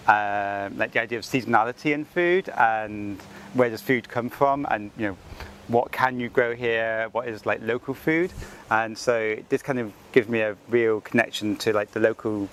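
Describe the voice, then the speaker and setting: A man with an English accent, speaking thoughtfully in an interview and pausing with frequent fillers. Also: fairly low pitch, fairly even intonation, fairly bright tone, a little hiss or hum. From England. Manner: thoughtful, with many ums and likes. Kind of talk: reflective personal account.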